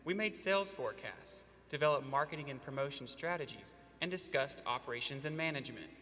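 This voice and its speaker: A man's voice: medium pitch, lively intonation, brisk, bright, forceful tone, long reverb tail, a little hiss or hum. A man from Arkansas giving a rehearsed student presentation with a confident, emphatic delivery. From Arkansas, USA.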